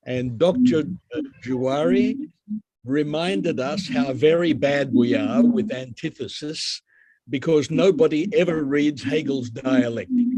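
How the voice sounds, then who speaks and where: A male professor with an Australian accent presents to an online academic gathering. His voice is very mellow and rounded, sitting at an ordinary male pitch. He speaks in a formal, deliberate way. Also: low-quality recording. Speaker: visiting professor, guest lecturer. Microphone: video call.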